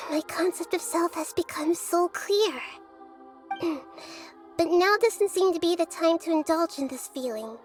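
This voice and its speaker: Very high, gentle little girl god. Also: faint music behind. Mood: wistful, earnest. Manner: measured, thoughtful, earnest, formal phrasing. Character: gentle, childlike young girl god, earnest and quietly resolute. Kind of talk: video game voice acting.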